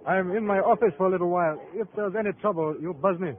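An actor playing a brusque, wary nightclub owner in a 1950s radio crime drama, curt and guarded. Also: male voice, high voice, fast, natural voice. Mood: brusque, suspicious. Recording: muffled, noisy old radio broadcast.

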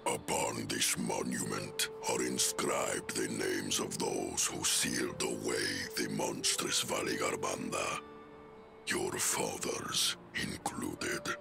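An actor playing an ancient, solemn giant elder in a video game, gravelly and formally grave in speech. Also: male voice, takes it slowly, over music, heavily acted. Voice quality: gravelly, rumbling. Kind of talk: scripted video game cutscene acting.

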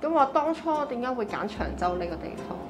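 A woman with a Hong Kong Cantonese accent, putting quick, chatty questions to her guests as the interviewer. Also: a little high, sing-song, music in the background.

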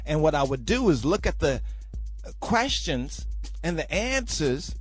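A man's voice: fairly high pitch, sing-song, measured pace, faint music behind. A man hosting a religious talk podcast, rambling and preachy, often checking "you understand?". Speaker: podcast host.

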